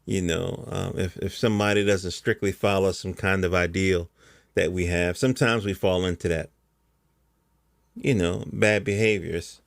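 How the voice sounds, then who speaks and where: A middle-aged American man speaks in a low-pitched voice with very little room sound around it. He talks like a casual podcast host, rambling along in colloquial language. He often checks that the listener is following him. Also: steady tone, moderate pace, creaky, no room sound, clean studio sound. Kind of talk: casual conversational monologue.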